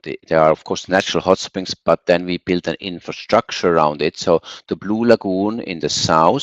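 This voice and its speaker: A middle-aged or older man with an Icelandic accent, giving an informal travel presentation as a guest speaker. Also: low voice. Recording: muffled, roomy online webinar audio.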